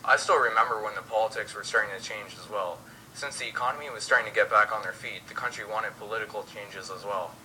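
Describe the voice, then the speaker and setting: A man's voice: fairly low pitch, quick pace, pushed and bright, poor audio. A young man reading as co-host of an amateur mock 1920s radio show. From Canada.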